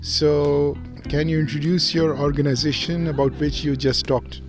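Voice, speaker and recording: A man's voice: a little high, little pitch movement, moderate pace. Hesitant man hosting an interview. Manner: hesitant, many uh fillers. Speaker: host. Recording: music playing underneath.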